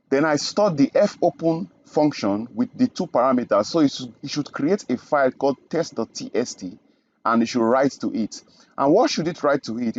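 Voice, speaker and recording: A man's voice: mid-range voice, dull audio. A young man from Nigeria teaching programming in a screen-recorded tutorial, explaining each step and checking in with "okay?". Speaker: programming instructor.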